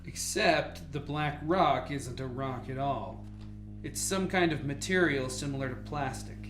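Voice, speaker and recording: An actor playing a measured, authoritative lead scientist in a radio drama. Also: medium pitch, reverberant, a little hiss or hum, lightly acted. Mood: thoughtful.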